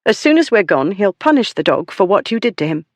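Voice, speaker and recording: A man voicing a high, stubborn genteel lady. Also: big pitch swings, quick pace, no room sound. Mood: indignant. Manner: insistent, crisp, defiant. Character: spirited, stubborn, sharp-tongued genteel lady.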